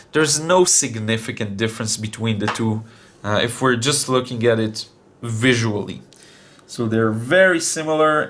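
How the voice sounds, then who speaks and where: A young man demonstrating watercolor paints, talking casually with many fillers, his voice still a little deep after being sick. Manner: relaxed, thinking aloud with many fillers. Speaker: art channel host.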